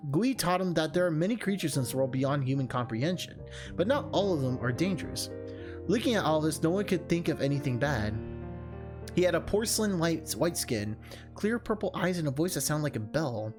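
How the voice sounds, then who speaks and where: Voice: medium pitch, lively intonation, brisk. Streamer narrating over music. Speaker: video game streamer, host. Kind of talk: reading a visual novel aloud, casual vlog chat.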